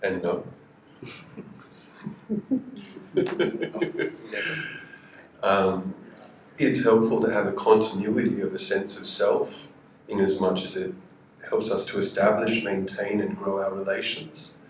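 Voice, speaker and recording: A man on a panel, speaking in a measured, contemplative way, recorded from a distance in an echoey room. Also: on the low side, fairly even intonation, slight background noise. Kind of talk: panel discussion.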